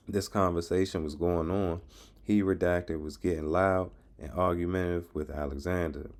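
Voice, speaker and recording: A man's voice: sits low, darkish tone. An American man hosting a channel, casually commenting and reading documents aloud with frequent hesitations and street slang. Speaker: YouTube channel host.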